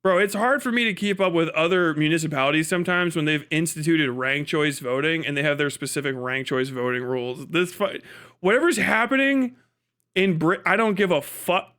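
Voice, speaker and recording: High-voiced American man ranting casually. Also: moderate pace, bright, forceful tone, clear, resonant tone. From United States. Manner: crude, mocking, rambling. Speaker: livestream host reacting to a video. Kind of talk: casual profane commentary.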